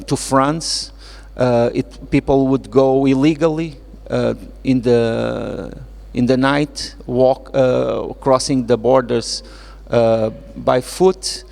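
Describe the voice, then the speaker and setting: A middle-aged man with a Portuguese accent speaks as a guest author at a literary event, reflective and halting as he searches for words. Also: medium pitch, creaky, darkish tone. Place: indoor event space with reverberant acoustics. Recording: echoey hall with long reverb.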